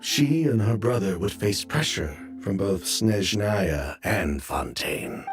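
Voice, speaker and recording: Jaded game businessman, deliberate, expressive pitch, defensive, clear background music. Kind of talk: video game voice acting.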